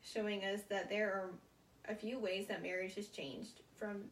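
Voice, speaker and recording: A young American woman giving a prepared informative speech as a student, speaking haltingly in short phrases, recorded at a distance in a room. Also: mid-range voice, steady tone. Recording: roomy, distant mic, faint noise.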